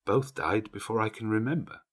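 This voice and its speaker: A man's voice: lowish voice, moderate pace, fairly bright tone, voice pushed up. A man voicing a young, curious orphan girl who asks eager questions in an audiobook. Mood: plain, subdued. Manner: inquisitive, questioning.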